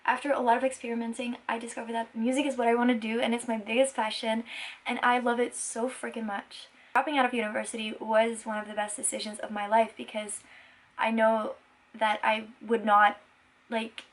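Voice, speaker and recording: A woman's voice: on the high side, little pitch movement, brisk. A woman in her twenties from Belgium, a native Dutch speaker, chatting casually to viewers in an earnest, enthusiastic and emphatic way. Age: 20s. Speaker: vlogger and musician.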